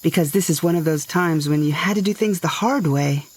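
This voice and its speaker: A woman playing a confident space superheroine who speaks in a calm, knowing, mentor-like way. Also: deep voice, a little fast, breathy edge. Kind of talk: scripted audio drama acting.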